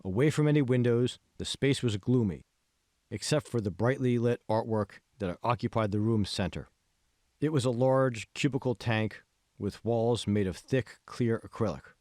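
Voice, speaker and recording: A man reading scripted fiction as an audiobook narrator, in a measured, phrase-by-phrase way. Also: mid-range voice, darkish tone, no echo, studio quality. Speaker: author reading his own audiobook.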